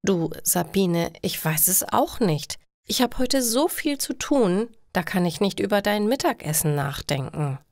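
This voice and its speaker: A woman's voice: medium pitch, lively intonation, a little slow, gravelly, darkish tone, no room sound, clean studio sound. A woman voicing a chatty woman who frets over her household chores in a story. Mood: flustered, overwhelmed.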